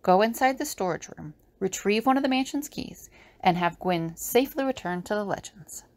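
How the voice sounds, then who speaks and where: A woman's voice: mid-range voice, lively intonation, normal speed, darkish tone, dry recording, lightly acted. A woman playing a wry, practical prisoner in an audio drama, speaking informally. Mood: planning, friendly.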